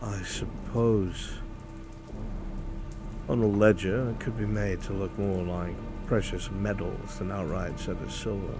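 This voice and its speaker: A man playing a shrewd, curious conspirator in a dark fantasy roleplay, with formal, old-fashioned phrasing. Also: deep voice, steady tone, unhurried, music in the background. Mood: musing, suspicious.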